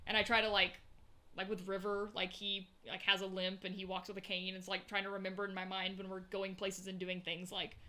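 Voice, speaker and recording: A woman with a Southern accent, co-hosting a casual tabletop-gaming podcast and talking in a chatty, animated way. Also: mid-range voice, brisk. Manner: chatty, many "like" fillers, animated storytelling. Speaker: podcast co-host. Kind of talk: casual chat.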